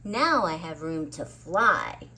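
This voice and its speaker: A woman's voice: low voice, big pitch swings, takes it slowly, pushed and bright, slight background noise, pitched below the performer's own voice, heavily acted. A woman voicing a tiny, sweet flower fairy in a children's storybook, speaking graciously and with delight. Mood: pleased, gracious.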